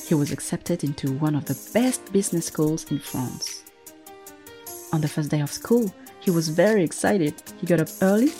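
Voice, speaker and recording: A woman narrating a story in a gentle, measured, scripted way. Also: sits low. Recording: faint music underneath.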